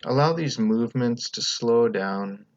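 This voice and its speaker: A man leading a guided meditation as the teacher, speaking in a calm, gentle, unhurried way with pauses between instructions. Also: medium pitch, dry recording, lo-fi sound.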